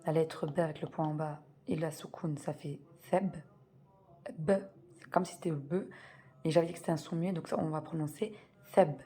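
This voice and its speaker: Low, mellow-voiced woman teaching slowly. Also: steady tone, slightly rough. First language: French. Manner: slow deliberate spelling-out of sounds, repeats key words. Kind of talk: patient step-by-step reading lesson.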